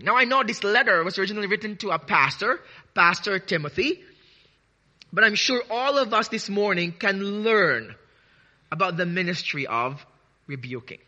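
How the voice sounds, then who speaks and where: A man preaching a sermon to his congregation, earnest and deliberate, with frequent restarts, drawing out key words. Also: high-pitched, bright, forceful tone. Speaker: pastor.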